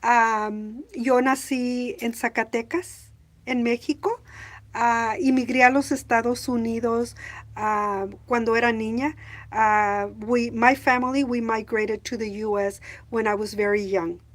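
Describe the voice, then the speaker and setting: Casting: middle-aged woman from Mexico, relaxed unhurried vlogger, halting delivery with lots of ums. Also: a little high. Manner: hesitant, many fillers, switches between English and Spanish. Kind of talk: casual bilingual vlog talk.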